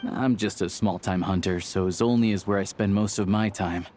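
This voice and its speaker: A man's voice: sits low, steady tone, moderate pace, a little mellow, a little hiss or hum, close to an everyday voice. A man playing an easygoing hunter and town guide in a video game, speaking in a casual, helpful, matter-of-fact way. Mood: wry, friendly. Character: easygoing young male small-time hunter acting as town guide. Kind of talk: video game character acting.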